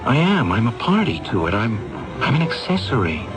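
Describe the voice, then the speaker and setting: An American man playing an earnest, guilt-ridden employee in a 1970s radio drama, halting and insistent. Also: medium pitch, big pitch swings, close to an everyday voice. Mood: guilt-ridden, confessing. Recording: vintage radio drama with music.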